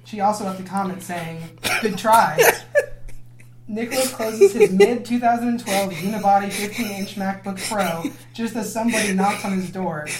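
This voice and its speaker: A woman's voice: mid-range voice, moderate pace, crowd noise. Woman reading stage directions, roomy echo. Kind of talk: amateur dramatic reading of script directions. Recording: roomy echo, others laughing behind.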